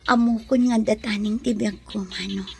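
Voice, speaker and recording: An actress with a Filipino accent playing an earnest, quietly resigned young woman in love in a radio drama. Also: medium pitch, fairly even intonation, moderate pace, creaky, a little hiss or hum, close to an everyday voice. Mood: resigned, soft. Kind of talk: Ilocano radio drama acting.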